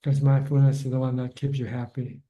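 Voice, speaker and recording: A man's voice: mid-range voice, level tone, a little fast, dark tone. A man guiding a caller in meditation over a video call, speaking plainly with many restarts. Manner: plain, repetitive guidance with restarts. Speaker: meditation teacher answering questions. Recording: dull lo-fi call audio.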